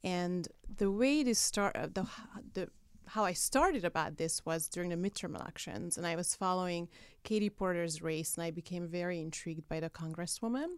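A woman's voice: fairly low pitch, moderate pace, clear, resonant tone, no room sound. A woman from Hungary with a Hungarian accent, explaining things conversationally as an interview guest in a studio. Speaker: guest, political activist who runs a PAC. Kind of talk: casual explanatory interview talk.

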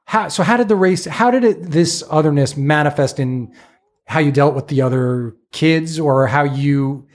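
A man's voice: on the high side, moderate pace. A man in his fifties hosting a podcast, asking curious, probing questions and rephrasing them as he goes. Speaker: podcast host. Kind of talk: casual interview questions.

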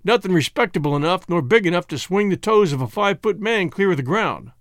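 Man acting a rough frontier hangman, gloomy, wry, folksy talk, lively intonation, dry close sound, adventure storybook. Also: high-pitched, brisk, no echo. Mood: mournful, resigned.

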